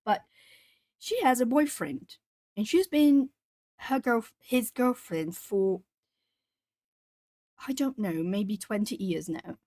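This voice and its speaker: Woman, southern Brazilian Portuguese accent, native Portuguese speaker, casual art-stream chat, reads comments aloud, thoughtful pauses, dry close sound. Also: on the high side, lively intonation, dark tone, no room sound. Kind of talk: casual chat while colouring.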